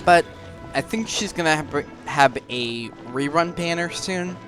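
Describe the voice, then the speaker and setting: A man giving casual commentary on a game playthrough, halting now and then as he thinks aloud. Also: fairly high pitch. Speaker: gaming video host. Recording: game music behind the voice.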